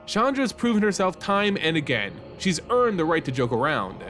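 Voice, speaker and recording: A man's voice: on the high side, big pitch swings, normal speed, fairly bright tone, faint music behind. Man acting a firm, supportive male mage. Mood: firm, protective. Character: calm, supportive grown male mage defending his friend.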